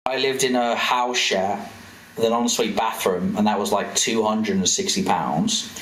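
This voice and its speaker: A man's voice: on the low side, fairly even intonation, normal speed, a bit bright. A man with a British accent giving casual, sweary live commentary as a streamer. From United Kingdom. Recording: roomy echo with faint noise.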